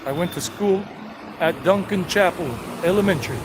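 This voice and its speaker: A talkative man rambles through a casual walking tour outdoors on a town street, trading jokes with a companion and calling out to people he passes. His voice sits a touch higher than most men's, and a faint hum of traffic runs underneath. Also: moderate pace. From South Carolina, United States. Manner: chatty, rambling, jokey, talks to companions and passersby. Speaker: tour guide vlogger.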